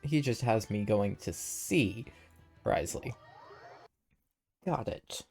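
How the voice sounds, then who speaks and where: Guy streaming a game, mellow voice, casual muttered asides, game music behind. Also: lowish voice, animated, takes it slowly, creaky. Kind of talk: casual gameplay commentary.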